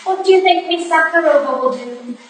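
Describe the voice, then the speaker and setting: A woman acts a loyal young protégée in a stage drama, earnest and composed, with a high voice. The recording is distant, very echoey and faintly noisy. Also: a little fast. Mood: earnest concern. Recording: echoey hall, distant mic, faint noise.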